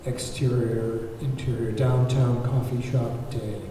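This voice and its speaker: A man's voice: medium pitch, level tone, takes it slowly, warm tone. A man narrating the stage directions of a script at a table read. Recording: very echoey hall, far mic, faint noise.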